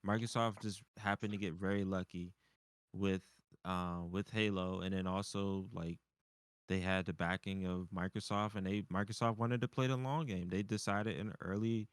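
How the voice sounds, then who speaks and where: A man co-hosting a casual gaming discussion, talking haltingly and repeating his phrases. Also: deep voice, steady tone, clear, resonant tone, no room sound. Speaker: co-host of a gaming talk show.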